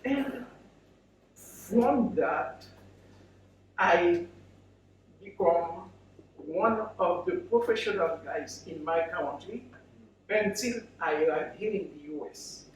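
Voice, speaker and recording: A middle-aged or older man with a Haitian accent, speaking to an audience in halting, short phrases as a guest speaker. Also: high voice, animated. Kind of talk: personal story told to an audience. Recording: very echoey, distant microphone, faint noise.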